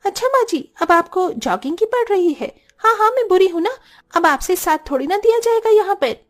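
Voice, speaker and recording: A woman voicing a sharp, quarrelsome young daughter-in-law in a Hindi cartoon story. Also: very high voice, lively intonation, fairly quick. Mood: indignant.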